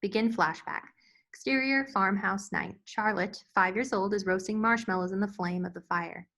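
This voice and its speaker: A woman's voice: medium pitch, moderate pace, fairly bright tone. A woman reading scene headings and stage directions as the narrator of a screenplay table read.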